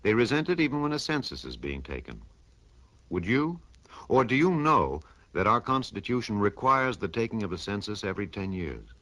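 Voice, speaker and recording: A man's voice: lowish voice, very animated, moderate pace, a bit bright. A man announcing a scripted 1950s radio public service message, instructive and given to rhetorical questions.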